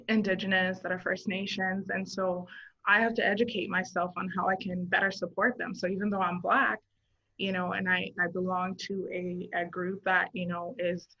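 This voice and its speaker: A woman's voice: mid-range voice, pushed and bright. A woman in her thirties from Zimbabwe, a social worker guest, talking earnestly in a casual interview and thinking aloud with many fillers. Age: 30s. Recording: dull lo-fi sound.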